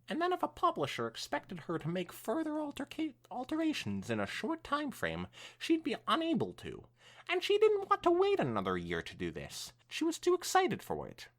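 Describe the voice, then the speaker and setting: A woman co-hosting a podcast, reading fan fiction aloud in an expressive, swooping storytelling style with casual asides. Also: medium pitch, normal speed.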